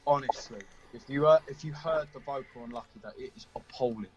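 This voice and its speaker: A man's voice: mid-range voice, brisk, a crowd in the background. A man chatting casually as an interviewed band member, emphatic and self-deprecating. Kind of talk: casual chat. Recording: dull lo-fi call audio.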